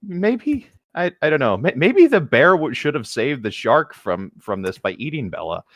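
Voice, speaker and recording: A man's voice: a little high, sing-song, normal speed, dry recording, studio quality. A man reading a story aloud as co-host and breaking off for amused, casual asides. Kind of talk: story narration with casual commentary.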